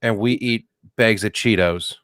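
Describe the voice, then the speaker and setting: A man with a low voice co-hosts a comedy podcast in a loose, joking way, riffing and putting on silly voices. The sound is dry and close, with no room in it. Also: unhurried, fairly bright tone, clear, resonant tone, no echo. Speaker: podcast co-host. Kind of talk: casual comedic chat.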